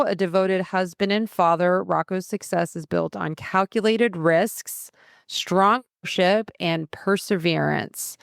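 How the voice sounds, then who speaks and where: A woman's voice: fairly low pitch, vocal fry, clear, resonant tone, no echo, clean studio sound. A young adult woman hosting a casual interview podcast, upbeat and chatty, reading an intro before talking freely. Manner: upbeat, reads scripted intros. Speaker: podcast host.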